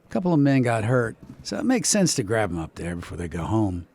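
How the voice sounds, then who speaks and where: An actor playing an easygoing, watchful small-town doctor in a radio drama, with a West Virginia accent. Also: male voice, lowish voice, very animated, fairly quick, a little mellow. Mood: friendly, easygoing. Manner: folksy, conversational.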